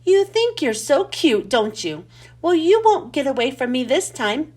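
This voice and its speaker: A woman's voice: high-pitched, very animated, unhurried, a little mellow. A woman voicing a menacing, vengeful female villain, gloating and threatening, in a children's storybook. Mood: gloating menace. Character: menacing, vengeful female villain, talking potato salad face.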